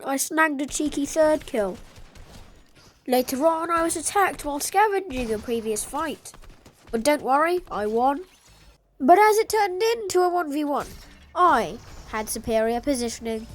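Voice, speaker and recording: A woman with a sore, congested throat, giving dry, self-deprecating voice-over commentary on her gameplay clips. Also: high-pitched. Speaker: gaming video creator.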